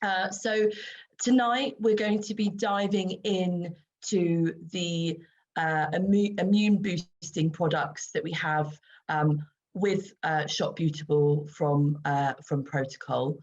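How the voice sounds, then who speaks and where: A low-pitched, croaky woman in her forties talks casually to viewers over a video call, filling pauses with ums. The sound is dull and lo-fi, like laptop call audio. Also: steady tone. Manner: chatty, many ums, light laughs. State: croaky voice after throat infection. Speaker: webinar host and skincare expert. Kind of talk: casual online presentation.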